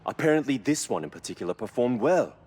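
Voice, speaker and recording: A man playing a knowledgeable car expert in a video game, explaining in a measured, admiring way. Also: mid-range voice, big pitch swings, darkish tone, a little hiss or hum, natural voice. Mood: impressed. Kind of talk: scripted video game dialogue.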